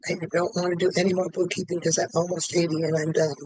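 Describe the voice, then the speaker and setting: Quick, flat-voiced man in his seventies. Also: fairly high pitch, dry recording. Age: 70s. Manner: repeats one statement over and over in a quick, even rhythm. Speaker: client in a tapping session.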